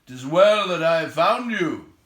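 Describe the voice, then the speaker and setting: A man's voice: a little high, lively intonation, unhurried, fairly bright tone, echoey room, voice pushed up. A man voicing a helpful, urgent soldier from a storybook, declaiming in formal speech. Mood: urgent, relieved. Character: swift, sure male soldier, urgent and helpful.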